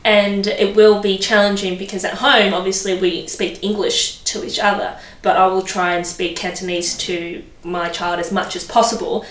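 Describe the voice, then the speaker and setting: A woman's voice: mid-range voice, little pitch movement, moderate pace, hardly any pauses, a bit bright, rough recording. A woman, answering interview questions outdoors, thinking aloud with plenty of fillers. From Australia. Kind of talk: documentary interview. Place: outdoors in front of a hedge.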